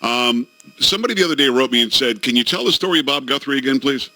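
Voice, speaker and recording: A man's voice: medium pitch, fast talker, no room sound, rough recording. A middle-aged man hosting a radio talk show, telling jokey stories in casual banter with his co-hosts. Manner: animated storytelling, jokey.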